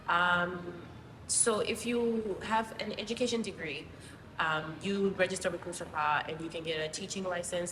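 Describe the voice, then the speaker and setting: A woman from Zimbabwe, a guest teacher, explaining things casually with many pauses and ums. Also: medium pitch. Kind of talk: casual interview answers. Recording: distant mic, roomy hall, noisy.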